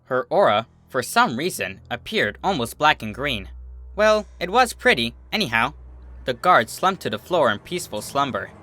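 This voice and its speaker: Bright-voiced man reading a story aloud. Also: medium pitch, sing-song, moderate pace, dry recording, faint music behind. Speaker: narrator of fan fiction readings, channel host. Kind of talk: expressive scripted story narration.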